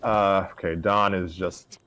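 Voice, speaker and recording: A man's voice: low-pitched, steady tone, takes it slowly, sharp tone, clear, resonant tone. A man narrating a story aloud informally, as the reader of a casual group session.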